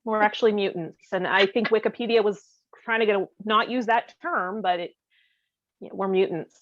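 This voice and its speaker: A woman's voice: medium pitch, moderate pace, no room sound. Woman chatting casually, dry dull sound. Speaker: podcast co-host. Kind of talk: casual comedic podcast chat.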